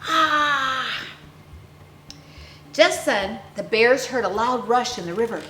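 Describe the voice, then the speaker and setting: A woman storyteller reading a picture book aloud to young children with theatrical, deliberate phrasing and dramatic emphasis. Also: fairly high pitch, a little hiss or hum. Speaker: children's storyteller, clown character host. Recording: roomy echo, faint music behind.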